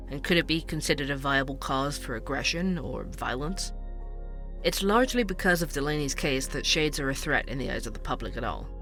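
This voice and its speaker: Bright-voiced, chatty male podcast host. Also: a little high. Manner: conversational, wry, explanatory. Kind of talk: scripted fiction podcast narration and interviews.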